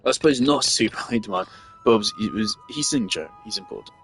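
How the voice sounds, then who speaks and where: A man's voice: on the low side, expressive, a little fast, a bit bright, faint music behind. A man giving casual gameplay commentary, thinking aloud and rambling with restarts. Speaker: gameplay streamer.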